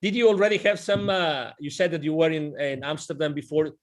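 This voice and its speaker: A man's voice: a little high, fairly even intonation, fairly quick. A man in his forties hosting an interview over a video call, eager and full of restarts. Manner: enthusiastic, talks with his hands, many restarts. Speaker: host. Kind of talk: casual interview. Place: indoors, virtual backdrop. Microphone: wired earphone mic on a video call.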